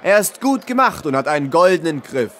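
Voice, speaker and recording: A man acts a stately, tired merchant at a fairy-tale inn. His voice sits somewhat high and moves expressively up and down. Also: very animated, a little fast, a bit bright. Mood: weary bargaining. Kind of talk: audio fairy tale acting.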